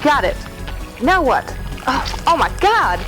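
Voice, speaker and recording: A woman's voice: sits high, very animated, takes it slowly, full character voice, low-quality recording. A woman playing a feisty, anxious captive gunslinger in a western radio horror drama, insistent and dramatic. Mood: urgent. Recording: clear music behind.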